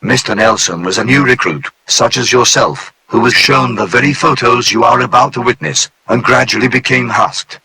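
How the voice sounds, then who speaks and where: A man voicing a polite, formal male AI supercomputer that guides trainees in a calm, courteous, clipped manner. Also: medium pitch, normal speed, hardly any pauses, lightly acted, rough recording. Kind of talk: scripted narration for an analog horror tape.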